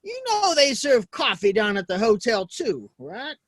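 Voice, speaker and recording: An earnest American cowboy in a Western audio play, performed by a man. His voice is high and bright and moves around a lot. He is folksy and a bit hesitant, shy but stubborn. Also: wildly swooping pitch, measured pace, no room sound, clean studio sound, exaggerated voice. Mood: probing. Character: earnest, plain-spoken cowboy, shy yet defiant.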